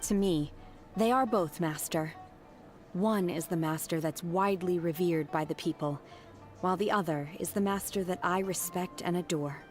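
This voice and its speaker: Woman acting reserved young student lady, lowish voice, polite and sincere, game music behind. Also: moderate pace, lightly acted. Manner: polite, earnest, restrained. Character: devoted, earnest young woman disciple, reserved. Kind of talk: scripted video game dialogue.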